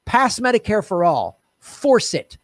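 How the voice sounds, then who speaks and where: A man hosting a political commentary show, ranting loudly and profanely and urging viewers to act. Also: sits high, big pitch swings, a little slow, dry recording, studio quality. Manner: talks straight to viewers, urging them to act.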